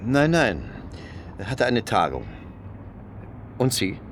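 A man's voice: medium pitch, very animated, slow pace, slight background noise. A man acting a reserved, polite small-town shopkeeper in a German radio play, hesitant and understated. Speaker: radio drama actor.

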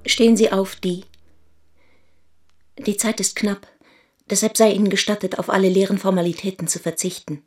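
Casting: woman playing an imperial Chinese princess for radio drama, imperious, formal, anxious underneath, mid-pitched female voice. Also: little pitch movement, normal speed, pauses often, darkish tone, close to an everyday voice. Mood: commanding. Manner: formal, commanding. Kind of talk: German radio play acting.

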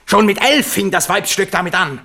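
A man's voice: high-pitched, expressive, moderate pace, pushed and bright, full character voice. A whiny, petulant young king. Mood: spiteful. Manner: whining, crude, sulky. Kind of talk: 1970s radio drama acting.

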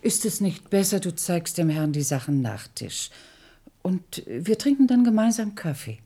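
An actress playing an anxious, protective old woman in a radio play, speaking politely and pleading gently. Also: lowish voice, very animated, normal speed. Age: older.

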